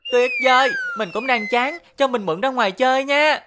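High-pitched mischievous cartoon boy. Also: a little fast, fairly bright tone, slight background noise. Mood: playful, boastful. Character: mischievous young cartoon schoolboy, playful and grumbling. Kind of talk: animated series dubbing.

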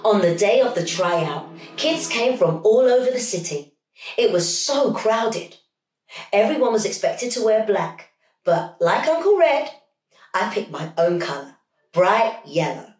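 A middle-aged woman reading a children's story aloud in an expressive, dramatic storytelling style. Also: mid-range voice, unhurried. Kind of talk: animated read-aloud storytelling. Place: small home room, indoors. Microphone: camera a few feet away. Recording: echoey room with music underneath.

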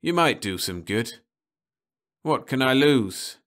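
A man's voice: mid-range voice, lively intonation, slow pace, fairly bright tone, pitched above the performer's own voice. A man reading aloud, voicing a blunt, weary male rocket captain who speaks curtly and to the point. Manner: curt and blunt.

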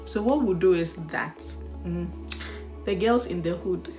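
A woman's voice: on the low side, measured pace, mellow, faint music behind. A young woman with a Ghanaian accent, chatting casually and animatedly as a vlogger, with laughs and sighs. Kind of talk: casual chat.

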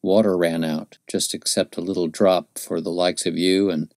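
A man voicing a gaunt, dying frontiersman who speaks gently in rustic dialect, with a low voice. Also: flat delivery, normal speed, no echo, studio quality. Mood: gentle.

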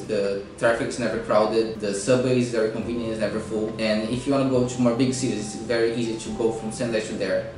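A man in his thirties from Brazil speaks earnestly about his work, sometimes restarting phrases. His voice sits at an ordinary pitch. He is a step back from the mic in a strongly echoing room. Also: darkish tone, a little hiss or hum. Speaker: assistant professor. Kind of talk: interview answer to camera. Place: bare room with grey wall. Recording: echoey room, voice distant from mic.

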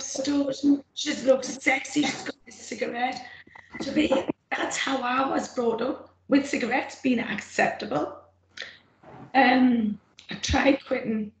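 A middle-aged woman with a rough, gravelly throat, giving an earnest, plain-spoken personal testimony from her notes on a video call. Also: a little high. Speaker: former smoker speaking at a roundtable. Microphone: computer mic on a video call. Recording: echoey room, faint noise.

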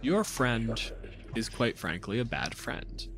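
A man narrating a tabletop game as game master, telling it dramatically with halting pauses. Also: medium pitch, sing-song, a bit bright, a little hiss or hum. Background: music.

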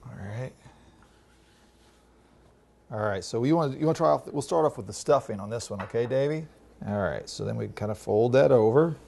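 A man's voice: mid-range voice, expressive, normal speed, vocal fry, long pauses, dark tone. A middle-aged man, a chef, patiently walking children through a recipe in a home kitchen. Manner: patient, instructive, coaching kids. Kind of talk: cooking demonstration.

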